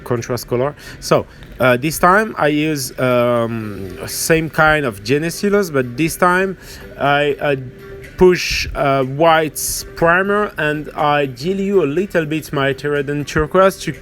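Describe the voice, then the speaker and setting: A man with a French accent and French as his first language gives an informal hands-on painting tutorial, hesitant and halting as he searches for words. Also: a little high, a bit bright, soft background music. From France or French-speaking region. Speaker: miniature painting tutorial host.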